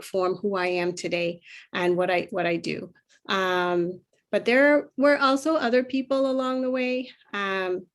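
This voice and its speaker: A woman from Trinidad and Tobago telling her personal story as a panelist, reflective and pausing often. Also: mid-range voice. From Trinidad and Tobago, moved to the United States at twelve. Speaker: panelist, youth mentoring program leader.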